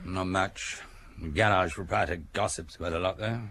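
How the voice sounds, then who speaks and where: An actor playing a deferential police inspector in an audio drama, reporting to his chief in colloquial, matter-of-fact short phrases, with a husky voice. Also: low voice, fairly even intonation, moderate pace, a bit bright. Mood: informal, reporting. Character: deferential, plain-spoken male police inspector, the chief's assistant.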